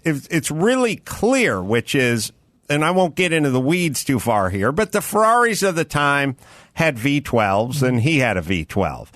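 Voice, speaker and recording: A man in his fifties hosts with a wry, rambling, joking delivery. His pitch is slightly high and rises and falls a lot. The sound is dry and close. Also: fairly bright tone, no echo. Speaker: podcast host. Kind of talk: casual chat.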